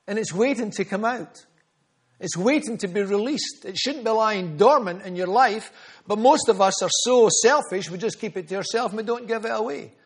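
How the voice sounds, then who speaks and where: A man preaching a sermon, earnest and storytelling, often repeating phrases for emphasis. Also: sits high.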